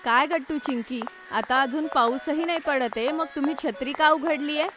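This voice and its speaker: A woman's voice: high-pitched, moderate pace, over music, natural voice. A woman playing a patient, practical mother who instructs her children in a cartoon. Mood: caring. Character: caring, practical mother of two young children.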